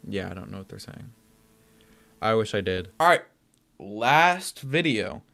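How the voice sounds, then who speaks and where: Man, low voice, casual slangy reaction commentary, clicky home recording with background noise. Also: big pitch swings, unhurried, gravelly. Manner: informal, slangy. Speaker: YouTube reaction host.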